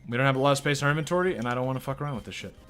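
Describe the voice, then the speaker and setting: A man streaming a video game, chatting loosely and swearing often as he answers his viewers. His voice is bright and forward, with faint game music under it. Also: medium pitch, very fast. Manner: profane, rambling, reacting to chat. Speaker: let's play streamer. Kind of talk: casual gameplay commentary.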